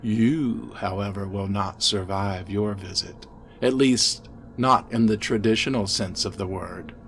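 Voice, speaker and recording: A man voicing an insane, haunted asylum inmate in a gothic horror story, fervent and ominous, swinging from hushed to ranting. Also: on the low side, measured pace, a little hiss or hum.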